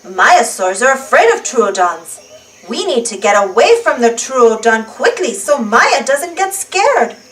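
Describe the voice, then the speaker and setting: A high-voiced woman reads a picture book to small children, taking her time over each phrase. Her delivery is animated and deliberate, and she sounds set back from the microphone. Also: far from the mic, a little hiss or hum, low-quality recording. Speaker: children's storybook reader. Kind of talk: animated read-aloud storytelling for young children.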